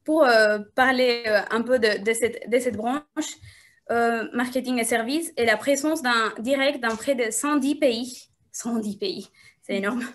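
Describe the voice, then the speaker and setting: A woman's voice: fairly high pitch, a bit bright. A woman interviewer at a live event, reading out a guest's career biography with frequent hesitations. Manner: halting, frequent hesitation fillers.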